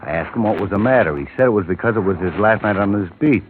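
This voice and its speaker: An actor playing a gruff, reluctant confidant in a 1950s radio crime drama, blunt and conversational while holding back. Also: male voice, on the low side, lively intonation, fast, close to an everyday voice. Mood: musing, subdued. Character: gruff, reluctant friend of a dead policeman. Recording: muffled, noisy old radio recording.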